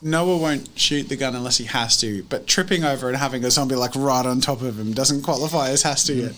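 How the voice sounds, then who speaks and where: Mid-pitched man playing a tabletop game. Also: fairly quick, a bit bright, slight background noise. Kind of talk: casual chat during a roleplaying game.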